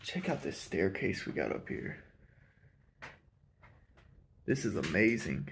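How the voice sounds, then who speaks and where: A man's voice: sits low, big pitch swings, slow, a little hiss or hum. A man giving a chatty, enthusiastic walkthrough of an empty old house, recorded on a handheld camera mic. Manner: enthusiastic, chatty tour guide. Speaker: urban explorer host. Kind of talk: casual walkthrough narration.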